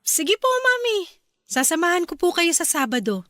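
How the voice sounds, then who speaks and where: A woman's voice: very high-pitched, big pitch swings, moderate pace. A woman playing a young, pregnant daughter-in-law, polite and reluctant, in a radio drama.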